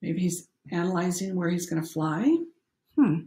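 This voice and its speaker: A woman's voice: lowish voice, moderate pace, mellow. A middle-aged woman doing a picture-book read-aloud with chatty commentary, interjecting and putting questions to young listeners. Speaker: coach reading a picture book aloud to children.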